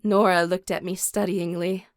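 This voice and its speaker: A woman's voice: lowish voice, flat delivery, normal speed, no echo, clean studio sound, natural voice. A woman playing a composed, caring teenage warrior girl, narrating an audio drama in the first person and speaking her dialogue.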